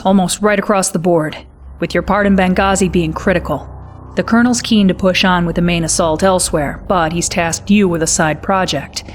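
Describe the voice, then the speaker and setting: A woman playing a brisk, wry military intelligence officer, giving a scripted mission briefing in a crisp, confiding manner. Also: fairly low pitch, slight background noise, natural voice.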